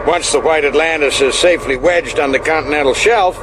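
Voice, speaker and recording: An actor playing a sober admiral in a radio drama, explaining things in a measured, halting way. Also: male voice, a little high, natural voice. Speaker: navy admiral being interviewed. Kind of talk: interview answers in radio drama. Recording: clear outdoor engine noise behind.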